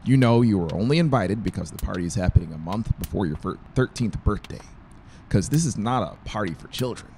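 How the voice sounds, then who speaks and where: A man voicing a firm, reassuring middle-aged father in an audiobook, speaking in a measured, persuasive way. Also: lowish voice, lively intonation, dark tone, a little hiss or hum.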